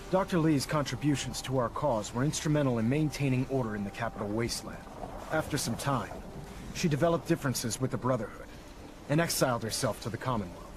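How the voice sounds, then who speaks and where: A man playing a stern, zealous military leader in a video game, speaking in a formal, authoritative, deliberate way. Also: mid-range voice, close to an everyday voice. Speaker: military commander briefing a soldier. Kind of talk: video game cutscene acting. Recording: noisy game audio with engine ambience.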